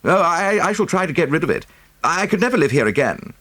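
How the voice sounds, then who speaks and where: Casting: male reader as well-bred English gentleman, anxious and courteous, swooping higher in pitch, audiobook drama. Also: sing-song, brisk, no echo. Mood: uneasy. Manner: courteous, hesitant, explanatory. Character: well-bred English gentleman, anxious, self-deprecating, recounting family troubles. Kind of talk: audiobook character acting.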